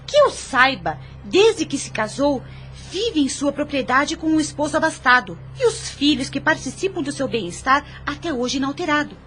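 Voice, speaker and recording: A woman's voice: high-pitched, big pitch swings, normal speed, fairly bright tone, slight background noise. A Brazilian woman playing a spirited, principled young niece in an audio drama, formal, firm and earnest. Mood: reproachful.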